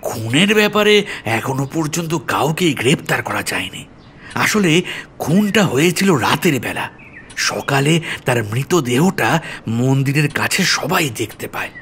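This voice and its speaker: A man's voice: mid-range voice, very animated, measured pace, fairly bright tone, a little hiss or hum. A man playing an earnest, deferential police officer in an audio story, explaining things politely and seriously. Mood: grave.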